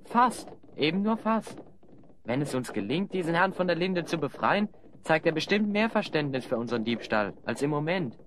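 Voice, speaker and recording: A man's voice: on the high side, big pitch swings, moderate pace, a bit bright, slight background noise. A man playing a bold, clever young adventurer with a wry, decisive manner in a German audio play. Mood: confident.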